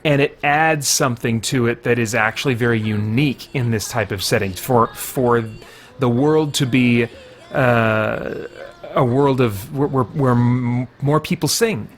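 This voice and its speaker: A man's voice: mid-range voice, measured pace, soft background music. A man reviewing a video game, giving casual, conversational commentary and thinking aloud as he plays. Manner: conversational, thinking aloud, mild slangy exclamations.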